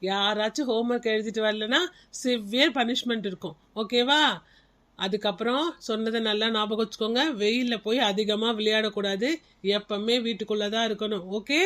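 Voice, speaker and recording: A woman's voice: fairly high pitch, steady tone, moderate pace, fairly bright tone, lightly acted. A woman playing a strict but caring senior schoolteacher in a classroom skit, instructing small children and checking that they agree.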